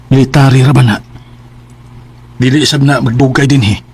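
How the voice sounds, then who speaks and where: An actor playing a calm, forgiving middle-aged farmer father in a radio drama, with a Cebuano accent. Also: medium pitch, normal speed, very noisy, close to an everyday voice. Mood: cautioning.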